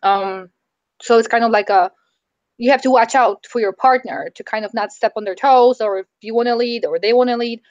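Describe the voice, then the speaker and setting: A woman with a fairly high voice that sounds strained and worn, as if she nearly lost it. She talks tentatively through her ideas in a casual book chat, with many ums. The audio is dull and dry. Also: steady tone, fairly quick, a little mellow, no echo. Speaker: book club member.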